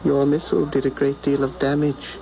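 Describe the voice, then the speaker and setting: A male radio actor playing a wounded, power-hungry alien pilot in a halting, intense, theatrical way. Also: medium pitch, little pitch movement, gravelly, mellow, close to an everyday voice. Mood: haughty, pained. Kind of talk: radio drama acting. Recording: dull, noisy old radio broadcast recording.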